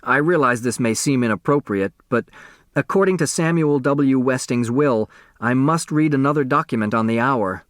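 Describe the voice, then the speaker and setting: A man's voice: mid-range voice, normal speed, fairly bright tone, no room sound, clean studio sound, close to an everyday voice. A man voicing a cocky young lawyer in an audiobook, speaking formally and officiously. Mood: apologetic, officious.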